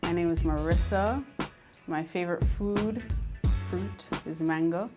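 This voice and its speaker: A woman's voice: lowish voice, a little slow. A woman from Jamaica, interviewed and speaking in a reflective way. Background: music bed.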